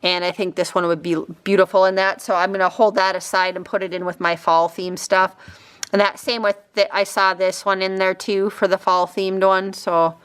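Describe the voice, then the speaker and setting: A woman chatting casually and a bit ramblingly as she shows craft finds, her throat slightly raspy. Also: medium pitch, little pitch movement, normal speed, a bit bright. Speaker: crafting vlogger. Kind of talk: casual chatty haul show-and-tell.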